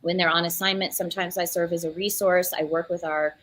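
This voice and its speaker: Woman, 40s, clinical educator, bright tone, dry close sound, lively. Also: fairly low pitch, a little fast, dry recording. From Central Florida. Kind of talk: panel discussion.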